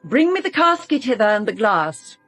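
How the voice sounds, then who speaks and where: Husky, animated, haughty theatrical lady. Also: on the high side, normal speed, faint music behind, heavily acted. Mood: teasing grandeur. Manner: grand, dramatic. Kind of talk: radio drama acting.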